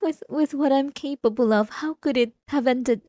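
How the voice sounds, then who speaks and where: A woman playing an obsessive, possessive wife in an intimate ASMR roleplay, speaking in a halting, repetitive, coaxing and manipulative way. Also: high voice, dark tone, dry recording, clean studio sound, close to an everyday voice. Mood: wounded, obsessive. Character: obsessive, possessive, delusional adult wife, sweetly menacing.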